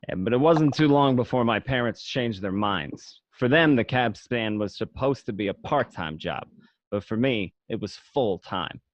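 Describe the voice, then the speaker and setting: A man's voice: medium pitch, expressive, moderate pace, no echo, close to an everyday voice. A man playing a streetwise gangster who tells of his boyhood with swagger, in an amateur radio play. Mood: proud, nostalgic. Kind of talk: first-person narration in an amateur radio play. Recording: dull lo-fi home recording.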